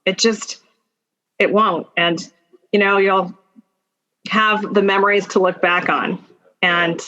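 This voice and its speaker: A woman speaking as an interview guest in a conversational, informal way, thinking aloud in halting phrases with many asides. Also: medium pitch, fairly even intonation, sharp tone. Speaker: podcast guest, attorney and executive. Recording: dull lo-fi sound.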